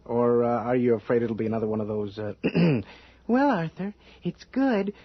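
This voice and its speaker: A man's voice: medium pitch, lively intonation, moderate pace, breathy edge, no echo. An actor playing a tense, irritable novelist and overbearing husband in an old-time radio drama, agitated and hesitant in his speech. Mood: needy, sarcastic. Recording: dull lo-fi radio drama recording.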